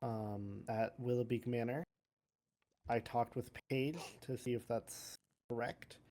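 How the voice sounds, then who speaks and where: A player acts out a calm adventurer at a fantasy game court. The voice is dark and mellow. Explanations come out measured and a little hesitant, in an improvised roleplay style. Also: medium pitch, close to an everyday voice. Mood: measured, doubtful.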